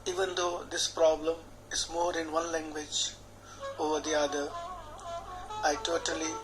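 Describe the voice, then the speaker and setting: A man, a healer guiding a tapping session in a slow, measured, soothing way, speaking repeated affirmations phrase by phrase. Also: a little high, level tone, fairly bright tone, poor audio. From India. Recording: clear background music under the voice.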